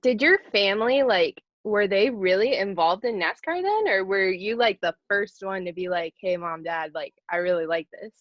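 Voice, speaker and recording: A woman's voice: medium pitch, lively intonation, moderate pace, few pauses, no room sound, dull audio. A woman co-hosting a podcast, asking questions in loose, casual phrasing. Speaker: podcast co-host.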